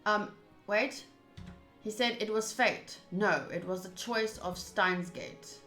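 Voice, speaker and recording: A woman hosts a game playthrough in a bright voice, chatting and thinking aloud as she goes. She slips between commentary and reading text. The room is echoey, with music in the background. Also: medium pitch, slow. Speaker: host of a visual novel playthrough. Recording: roomy echo, game music behind.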